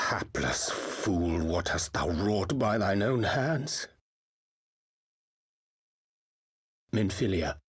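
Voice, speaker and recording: A brooding scholar of firm resolve for a fantasy game cutscene. His voice sits low and has a husky grain. He speaks slowly, with solemn, theatrical weight and archaic, formal phrasing. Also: full character voice. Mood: anguished, resolute.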